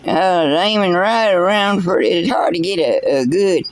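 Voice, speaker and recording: A man's voice: high-pitched, lively intonation, moderate pace. A man playing an old backwoods storyteller, rambling folksy and tripping over repeated words as he tells a tall tale. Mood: yarn-spinning. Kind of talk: character storytelling.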